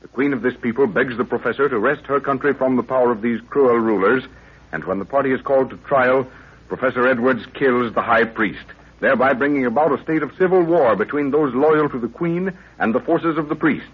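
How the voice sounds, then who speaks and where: A man performing as the measured, portentous announcer of a 1930s radio jungle adventure serial, reading scripted narration. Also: mid-range voice, natural voice. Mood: dramatic. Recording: tinny, rough 1930s radio recording.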